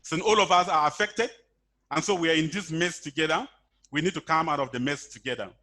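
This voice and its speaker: A man's voice: fairly high pitch, a little fast, sharp tone. A man giving a prepared presentation as the guest speaker on an online webinar. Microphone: video call.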